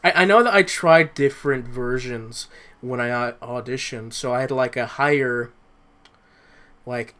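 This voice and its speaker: A young man who hosts a podcast, chatting casually and haltingly, repeating words as he thinks. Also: medium pitch, a bit bright. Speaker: podcast host and amateur voice actor. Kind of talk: casual chat.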